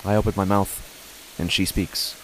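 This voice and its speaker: An uneasy dad narrating a horror story, really low voice, measured, pauses a lot, bit of hiss. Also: male voice, little pitch movement, fairly bright tone, poor audio. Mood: grave, uneasy. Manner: deliberate, with dramatic pauses. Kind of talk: scripted first-person horror narration.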